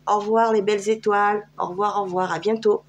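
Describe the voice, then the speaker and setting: A woman's voice: mid-range voice, fairly even intonation, fast talker, slight background noise. A French woman giving a casual tarot reading, chatty and hesitant, with many fillers. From France. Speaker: tarot card reader. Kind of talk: casual tarot guidance video.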